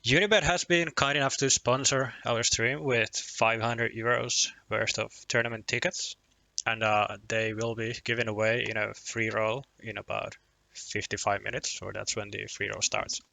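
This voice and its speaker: A man's voice: fairly low pitch, fairly even intonation, normal speed, vocal fry, bright, forceful tone, no room sound. A young man from Finland with a Finnish accent and Finnish as his first language, chatting casually on a poker live stream, rambling and self-deprecating with lots of restarts and chuckles.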